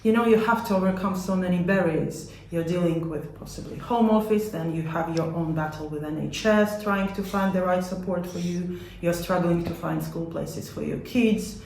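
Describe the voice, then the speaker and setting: A woman with a Latvian accent giving measured, earnest explanations as an interviewee about her work. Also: on the low side, darkish tone, slight background noise. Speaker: community support organisation worker being interviewed. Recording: echoey room.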